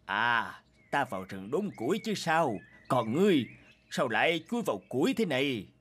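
A man voicing a poor, cautious middle-aged woodcutter in a cartoon, talking slowly and hesitantly. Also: medium pitch, very animated, fairly bright tone, husky. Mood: puzzled. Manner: slow, hesitant, wary. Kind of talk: cartoon voice acting. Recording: music bed under the voice.

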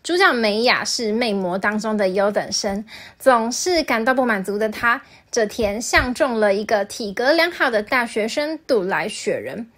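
High-voiced woman hosting a review. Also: animated, moderate pace, a bit bright. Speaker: host reviewing animations. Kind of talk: scripted video narration.

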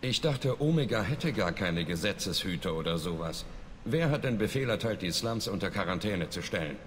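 An actor playing a resolute, commanding space soldier in a video game, speaking firmly. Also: male voice, low voice, expressive, moderate pace. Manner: firm, assured. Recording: reverberant game scene, faint noise.

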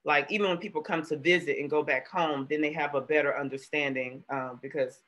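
Woman host in her thirties, bright tense voice, chatty, laughs a lot, video call from home. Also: deep voice, steady tone, fairly quick. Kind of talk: casual video-call interview. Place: room at home. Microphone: webcam on video call.

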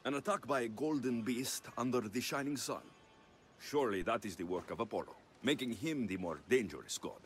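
An actor with a Greek accent playing a confident, wry ancient Greek mercenary in a video game, dry and measured. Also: male voice, mid-range voice, a little hiss or hum, lightly acted. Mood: earnest.

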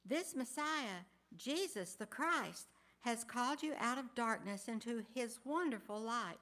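A woman's voice: a little high, expressive, unhurried, fairly bright tone. Woman solemnly reading church narration. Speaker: cantata narrator. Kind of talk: scripted scripture reading. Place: large church sanctuary.

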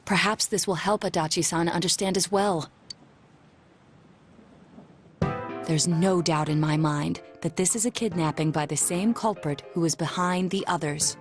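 Low-voiced, formal young detective played by a woman. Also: natural voice. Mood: composed. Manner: formal, measured, precise. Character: calm, analytical young detective in a teenage group. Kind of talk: video game voice acting. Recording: music under the dialogue.

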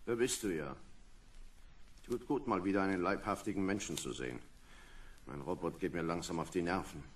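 Low-voiced gruff space lieutenant. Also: male voice, normal speed, slight background noise. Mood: weary, dry. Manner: commanding, dryly grumbling. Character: gruff, weary male lieutenant leading an asteroid survey. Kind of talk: vintage German sci-fi radio play acting.

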